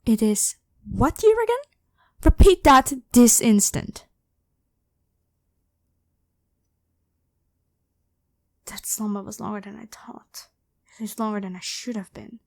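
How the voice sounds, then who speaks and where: A woman's voice: medium pitch, expressive, normal speed, a little mellow, dry recording, natural voice. Woman voicing an imperious, evil ancient pharaoh queen, close and dry. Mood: imperious, questioning. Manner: haughty, demanding, theatrical. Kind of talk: scripted ASMR roleplay monologue.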